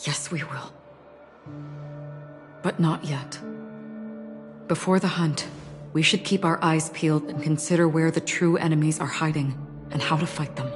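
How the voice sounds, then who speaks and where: An actress playing a somber, guilt-burdened swordswoman in a video game, a grave mentor who speaks in a measured, solemn way. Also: sits low, fairly even intonation, over music. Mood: grave, resolute.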